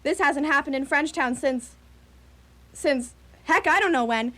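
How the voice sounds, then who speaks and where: A woman's voice: sits high, measured pace, pushed and bright. A woman playing a gushing, gossipy grown daughter in a radio play, chatty and dramatic. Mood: gossipy, excited. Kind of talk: community radio theatre acting.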